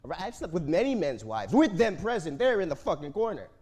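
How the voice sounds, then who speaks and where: Menacing male boss in a stage drama acted by a man, mellow but wide-swinging voice, condescending and easily riled. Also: high-pitched, sing-song, brisk. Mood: angry, paranoid. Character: menacing, entitled male factory commander, a sexual predator. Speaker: actor in a staged reading. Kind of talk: staged play reading.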